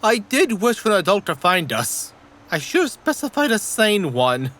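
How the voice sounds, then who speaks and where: Woman voicing an earnest kid with wildly swinging pitch. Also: big pitch swings, normal speed, fairly bright tone. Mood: dry. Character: earnest, worried child adventurer longing for home. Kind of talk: comedic animated parody voice acting.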